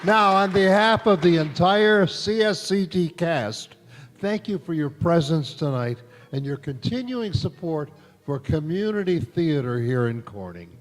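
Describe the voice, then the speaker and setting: Male announcer, high-pitched, measured old-time radio delivery, echoing hall, live theatre. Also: expressive. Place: church used as a live theatre. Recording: echoey hall, noisy audience.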